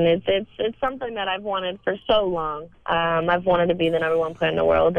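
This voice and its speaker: A young woman with a cold, hoarse, answering interview questions haltingly with many fillers. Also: fairly low pitch, dry recording. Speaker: guest on phone line. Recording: tinny phone line.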